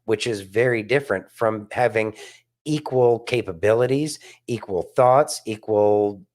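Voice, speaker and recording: A man's voice: lowish voice, unhurried, a little mellow. A man co-hosting a casual political discussion, speaking emphatically and repeating phrases for stress. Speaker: podcast co-host.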